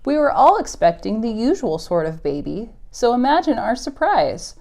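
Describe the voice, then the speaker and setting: A woman's voice: a little high, big pitch swings, moderate pace, darkish tone. A woman hosting a preschool storytime with songs, rhymes and reading, speaking in simple, encouraging phrases to small children. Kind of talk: preschool storytime with singing, rhymes and read-aloud.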